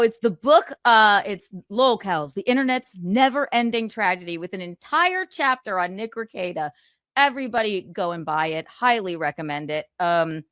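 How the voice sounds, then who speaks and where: A woman's voice: fairly high pitch, fairly bright tone, clean tone, dry recording, studio quality. A woman livestreaming, switching between casual chat and reading book passages aloud, with gloating, sarcastic, theatrical emphasis. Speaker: streamer.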